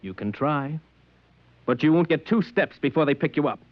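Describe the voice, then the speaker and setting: A man's voice: a little high, animated, normal speed, no echo, close to an everyday voice. Male actor as dogmatic sci-fi customs officer, curt and matter-of-fact, close dry sound, muffled vintage radio. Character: stern, dogmatic male customs agent of a regimented planet. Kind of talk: 1950s radio drama acting. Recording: dry, dull old radio recording.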